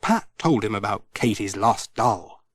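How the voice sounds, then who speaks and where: A man narrating a scripted children's story in an expressive storytelling manner. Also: lowish voice, unhurried, no room sound. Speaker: audiobook narrator.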